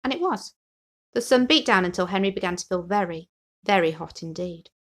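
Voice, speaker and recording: A woman's voice: medium pitch, animated, a little fast, fairly bright tone. Woman reading a children's storybook. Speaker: story reader. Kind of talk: expressive children's storybook narration.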